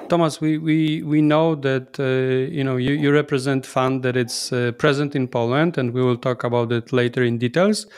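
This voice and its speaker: Smooth-voiced male podcast co-host. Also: mid-range voice. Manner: hesitant, repeating words. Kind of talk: asking interview questions.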